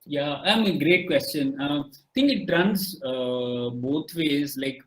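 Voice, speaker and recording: Man, first language marathi, slightly high voice, roomy echo, hesitant fillers and restarts. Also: takes it slowly. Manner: thoughtful, lots of fillers and restarts. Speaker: podcast guest. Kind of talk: casual conversation.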